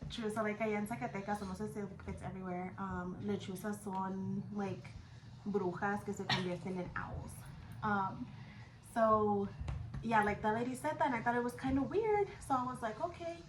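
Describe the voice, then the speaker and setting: A woman's voice: mid-range voice, normal speed. Slangy young woman, echoey room. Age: 20s. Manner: slangy, switches between English and Spanish, swears casually. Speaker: vlogger telling a personal story. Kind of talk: casual chat storytelling. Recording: echoey room with background noise.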